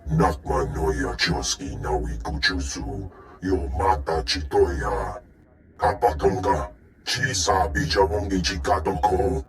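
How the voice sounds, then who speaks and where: An actor playing a ponderous male alien in a video game, speaking an invented tongue deliberately, with ambient noise behind. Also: low voice, soft background music.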